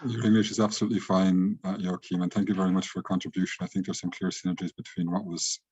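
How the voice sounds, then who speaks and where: A man with a very deep, husky voice moderates an online panel, courteous and full of fillers. He speaks flatly, with little rise or fall. His audio is dry and dull, as on a lo-fi video call. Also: fast, no echo.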